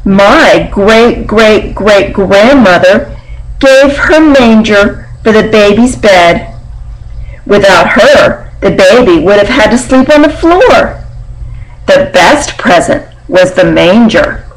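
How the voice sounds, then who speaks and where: A dismissive female barn animal from a children's tale, read by a woman. She speaks slowly at a medium pitch, full of boastful self-importance and condescension. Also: expressive, low-quality recording. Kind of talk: storybook character reading.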